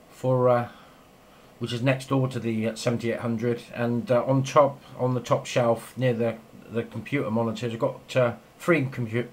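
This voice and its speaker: A man chatting casually and enthusiastically over amateur radio in a rambling way. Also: mid-range voice. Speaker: amateur radio operator, video maker. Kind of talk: casual two-way radio chat. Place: home radio shack. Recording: clear background noise in room.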